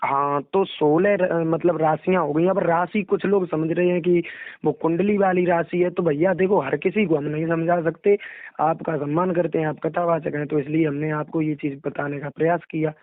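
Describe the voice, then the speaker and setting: A man's voice: a little high, steady tone, moderate pace, dry recording. A young man, a religious storyteller talking casually on a phone call, explaining in a deferential way. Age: young adult. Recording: recorded phone call, narrow dry sound.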